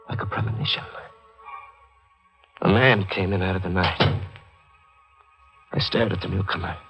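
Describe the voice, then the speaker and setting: An actor playing a guilt-haunted writer who narrates a thriller in melodramatic, brooding old-time radio style. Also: male voice, low-pitched, sharp tone, poor audio. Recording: vintage radio drama with music bed.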